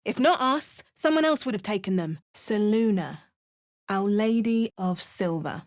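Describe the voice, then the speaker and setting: A woman's voice: medium pitch, expressive, measured pace, close to an everyday voice. A woman voicing a determined adventuring heroine in a fantasy game, giving short wry remarks and narrating in the first person. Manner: clipped asides, first-person narration and shouted spell incantations. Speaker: player character in a fantasy video game. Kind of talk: recorded game voice lines.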